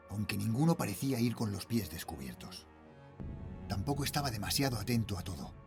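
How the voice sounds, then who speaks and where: A man's voice: on the low side, vocal fry. A middle-aged man with a Spanish accent from Spain playing an uneasy night watchman and father, narrating a horror tale in a suspenseful, brooding way. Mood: agitated. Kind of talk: dramatic first-person horror narration.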